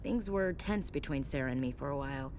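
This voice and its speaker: A woman's voice: a bass voice, lively intonation, fairly quick, a little mellow, slight background noise. A woman playing a tough, candid soldier and protective big sister in a video game, frank and conversational. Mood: earnest, proud. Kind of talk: naturalistic video game dialogue acting.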